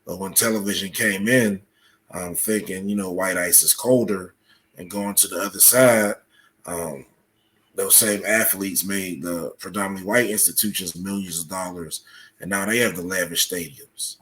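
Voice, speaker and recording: A man speaking as a podcast guest, explaining at length in a halting, deliberate way with many filled pauses. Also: low-pitched, steady tone, fairly bright tone. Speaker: podcast guest, soccer coach. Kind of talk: conversational interview, explaining at length.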